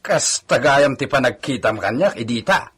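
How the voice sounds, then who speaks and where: Raspy, touchy hacienda worker. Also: male voice, mid-range voice, animated, moderate pace, a bit bright. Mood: indignant, scornful. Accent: Ilocano. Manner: bickering, exclamatory. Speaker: actor in a radio comedy drama. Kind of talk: Ilocano radio comedy drama acting.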